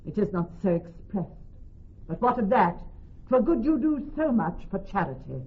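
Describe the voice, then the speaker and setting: A woman playing a clever young woman posing as a male judge in classical radio drama, speaking in a measured, formal, authoritative way. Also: medium pitch, very animated, husky. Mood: grave, pleading. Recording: thin, narrow-band old radio broadcast.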